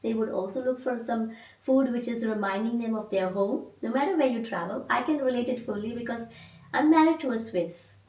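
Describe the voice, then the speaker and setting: A woman from India hosting an interview, asking measured, reflective questions. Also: a little high, a little mellow. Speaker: podcast host. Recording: echoey room, mic at a distance.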